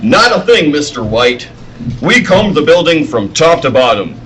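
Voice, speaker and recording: A man's voice: a little high, very animated, normal speed, fairly bright tone, slight background noise, poor audio. A man playing a mild, modest newspaper reporter, polite and slightly hesitant, in old-time radio acting. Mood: earnest.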